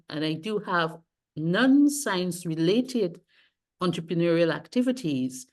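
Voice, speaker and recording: A middle-aged woman from Guyana, a sustainability consultant, talking through her career in a measured, careful way and rephrasing as she goes. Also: deep voice, darkish tone. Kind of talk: interview, long career account.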